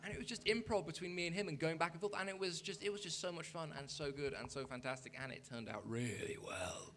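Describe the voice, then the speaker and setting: A man's voice: on the high side, fast talker, fairly bright tone, a little hiss or hum. A man talking casually as a panelist in a convention room, into a microphone. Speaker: panelist, voice actor. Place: convention meeting room with an audience.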